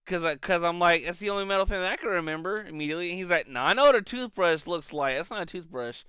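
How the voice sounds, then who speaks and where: A man co-hosting a podcast, telling comic anecdotes in a casual, animated, sweary way. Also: high voice, fast, clean tone, no room sound. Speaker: podcast co-host.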